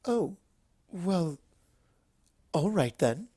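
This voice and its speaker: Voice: medium pitch, sing-song, extremely slow, mellow, slightly rough, no room sound, clean studio sound, pitched above the performer's own voice, exaggerated voice. A narrator voicing a refined adult female cartoon unicorn who is genteel, weary and strained in politeness. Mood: curt, weary.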